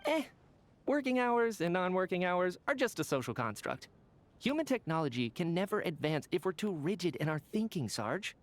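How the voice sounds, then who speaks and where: A man's voice: high voice, very animated, moderate pace. A man voicing a breezy, cheeky young scientist in a video game, chatty and theatrical. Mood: glib.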